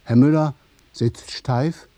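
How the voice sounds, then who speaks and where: A man narrating a scripted literary radio play in a deliberate, measured storytelling manner. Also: mid-range voice, fairly even intonation, dark tone, no echo.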